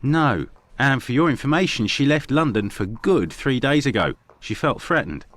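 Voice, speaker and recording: An actor playing a frank, measured Victorian clinic doctor in a video game, formal and matter-of-fact. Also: male voice, mid-range voice, expressive, a bit bright, no room sound.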